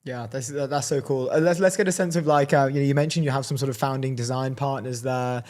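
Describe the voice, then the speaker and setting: A man's voice: medium pitch, steady tone, fast talker, darkish tone. A man co-hosting an interview, reacting and asking questions in casual, chatty language. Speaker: interview co-host.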